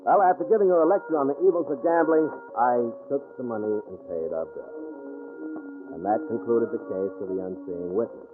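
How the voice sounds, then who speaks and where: An actor playing a brash, streetwise American detective in a wry, slangy 1940s radio drama style. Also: male voice, mid-range voice, a little fast, over music, close to an everyday voice. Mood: wry narration. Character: brash wisecracking male detective Johnny, streetwise, exasperated, fond of his partner. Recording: muffled, band-limited old radio recording.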